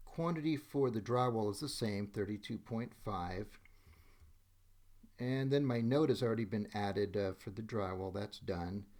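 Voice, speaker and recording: A man with a mid-pitched voice narrates a software demonstration. He works through it step by step, stating each action in a methodical way as he carries it out. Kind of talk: step-by-step screen tutorial narration. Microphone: voice-over on a screen recording.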